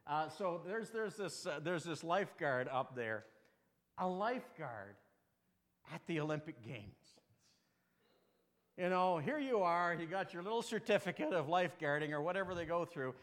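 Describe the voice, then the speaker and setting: A man's voice: a little high, fairly bright tone. A male pastor preaching a sermon, telling folksy stories and jokes with rambling asides. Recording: long reverb of a large hall.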